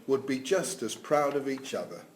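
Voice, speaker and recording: A man's voice: mid-range voice, expressive, normal speed, close to an everyday voice. A man playing a formal, matter-of-fact family solicitor in an audio drama reading. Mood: solemn. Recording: echoey room with noise.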